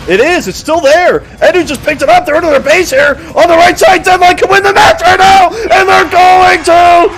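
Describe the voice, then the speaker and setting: A man doing live play-by-play as an esports shoutcaster. He is losing his voice and bursts into excited shouting on big plays. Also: very high-pitched.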